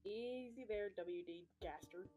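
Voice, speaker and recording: A woman's voice: mid-range voice, unhurried, warm tone, faint music behind. A woman hosting a casual voice-call chat with friends, joking and swearing playfully. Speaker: channel host.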